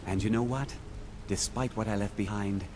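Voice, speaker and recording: An actor playing a suave, thrill-seeking male thief in a video game, talking in a smooth, confiding way. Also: on the low side, steady tone, normal speed, close to an everyday voice. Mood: confiding, wistful. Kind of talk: scripted game dialogue. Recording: clearly noisy background under game dialogue.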